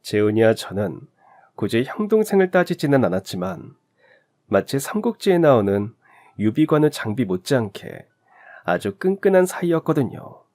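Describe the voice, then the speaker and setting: A man's voice: medium pitch, big pitch swings, darkish tone. Man narrating a heartfelt story. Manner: emotive, measured storytelling.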